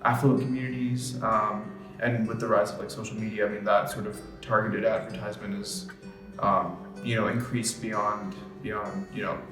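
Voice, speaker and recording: A man's voice: lowish voice, level tone, normal speed, over music. A nineteen-year-old man from Brooklyn answering interview questions thoughtfully, hesitating with many fillers. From Brooklyn, New York. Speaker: young interviewee. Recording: roomy echo.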